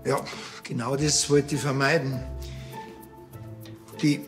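Man as a deadpan Bavarian policeman. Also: mid-range voice, takes it slowly, soft background music, natural voice. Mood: sly, evasive. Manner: deadpan, laconic. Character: dry, sly, laconic male village policeman. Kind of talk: radio play acting.

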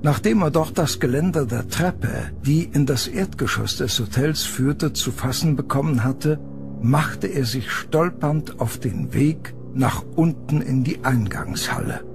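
A man's voice: medium pitch, lively intonation, measured pace, vocal fry, music in the background. A man narrating a scripted horror story as the narrator of an audio drama.

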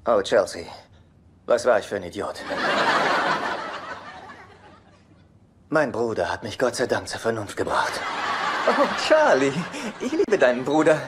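A man playing a fussy, needy, meek brother in a sitcom dub, speaking hesitantly and pedantically with dry wit. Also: fairly high pitch. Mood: smug, playful. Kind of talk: dubbed sitcom dialogue. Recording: noisy TV sitcom soundtrack.

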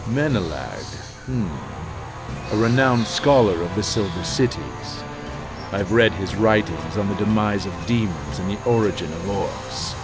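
An actor playing a stern, pious necromancer lord in a fantasy game, with pompous, measured, archaic speech. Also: male voice, fairly low pitch, animated. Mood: imperious. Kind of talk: dramatic fantasy game dialogue. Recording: loud music under the voice.

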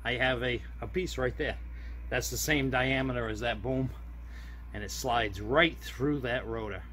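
A man with a mid-pitched voice narrates his own hands-on project in a casual, plain-spoken way. He explains each step simply, as if filming on a handheld camera. There is faint noise behind his voice. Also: unhurried, a bit bright. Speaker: DIY hobbyist video host.

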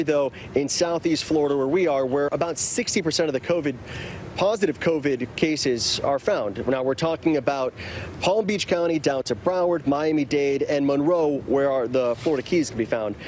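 A man in his thirties giving a live news report from outdoors by a city park, with a brisk, clear delivery. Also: on the high side, a little hiss or hum. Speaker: field reporter. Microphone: clip-on mic, medium camera shot.

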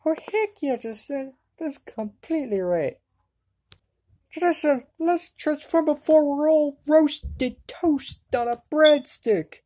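A woman voicing an excitable, sarcastic anime girl in an amateur cartoon fandub. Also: high-pitched, slow. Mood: excited, alarmed.

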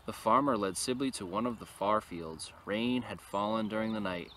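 Bright-voiced man reading a children's story outdoors. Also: on the low side, normal speed. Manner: animated when reading, quieter and casual in asides. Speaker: storyteller reading a picture book. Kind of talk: expressive children's read-aloud with casual asides to an animal. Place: outdoors in an open field. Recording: noisy outdoor recording with ambient sound.

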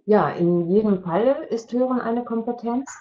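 A woman's voice: fairly high pitch, normal speed. A middle-aged woman explaining as an invited expert over a video call. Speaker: media coach, invited expert. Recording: dull, muffled video-call sound.

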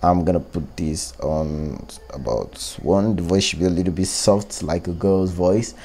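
A man with a low, mellow voice hosts a phone app tutorial. He talks informally and explains each step as he goes. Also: a little slow. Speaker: tech tutorial host. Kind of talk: casual screen-recorded app walkthrough. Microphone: close one-way microphone, voice-over.

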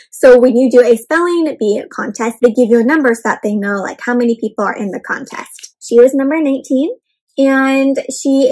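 Upbeat young woman reading a picture book to kids, high pitched, asks them questions, recorded at home. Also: rough recording. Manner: animated, addresses kids as "my friends". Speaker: children's read-aloud host. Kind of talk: interactive picture-book read-aloud. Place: home room with bookshelf and plants.

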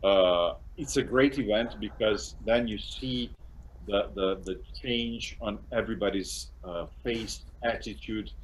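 A middle-aged man with a Serbian accent, hosting a casual video-call interview in English as a second language, speaking haltingly with frequent restarts and small laughs. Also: lowish voice, a little hiss or hum, low-quality recording. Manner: halting, restarts often, laughs between phrases. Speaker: interview host and course translator. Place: home room indoors. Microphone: webcam on a video call.

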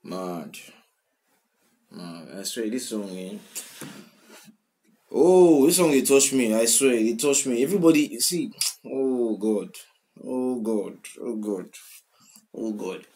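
A man's voice: mid-range voice, takes it slowly, pauses often, background noise. Nigerian man in his twenties, emotional reactor. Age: 20s. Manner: emotional, animated, swings from loud outbursts to quiet mumbling. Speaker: music reaction host. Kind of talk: casual reaction commentary. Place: small room indoors. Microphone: webcam at desk, wearing headphones.

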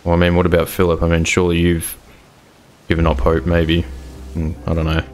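Dude acting as a doubtful zombie survivor, really low flat voice, hesitant n low-key, game rp skit. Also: male voice, fairly quick, natural voice. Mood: doubtful, resigned. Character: resigned, doubtful male survivor of a zombie apocalypse. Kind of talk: improvised game roleplay skit.

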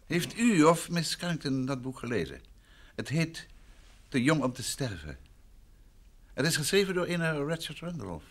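An actor playing a composed, probing gentleman detective in an old Dutch radio play, polite, measured and formal in his questioning. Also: fairly high pitch, very animated. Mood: sociable, curious.

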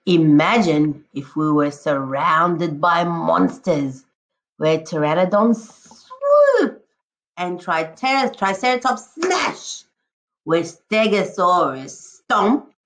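A woman teacher reading a picture book aloud to children, expressively, addressing them directly and encouragingly with drawn-out emphatic words. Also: on the low side, big pitch swings, fairly bright tone. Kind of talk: expressive children's read-aloud with prompting questions.

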